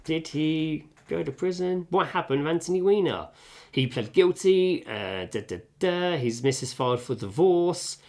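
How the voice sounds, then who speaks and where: Sweary animated podcast co-host, man. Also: on the high side, moderate pace. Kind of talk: casual chat, reading out stories. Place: home kitchen.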